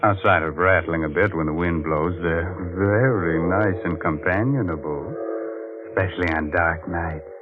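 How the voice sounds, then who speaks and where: An actor playing a gleefully macabre 1940s radio horror host, droll and mock-sinister, on a muffled old recording. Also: male voice, low voice, normal speed, over music, close to an everyday voice. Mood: ghoulishly droll.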